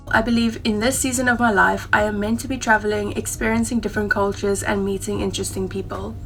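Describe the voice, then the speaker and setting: A woman in her twenties speaks with a South African accent at a middling pitch. She sounds candid and chatty, like a travel vlogger talking to her viewers. Soft music plays faintly behind her. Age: 20s. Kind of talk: personal vlog, talking to camera.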